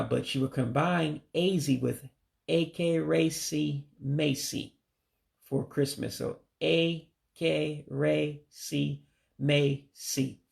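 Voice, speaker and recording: Slow, gravelly-voiced man teaching carefully. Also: a little high. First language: English. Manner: slow, careful, tentative pronunciation with self-corrections. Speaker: language learner teaching greetings. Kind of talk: self-taught language lesson.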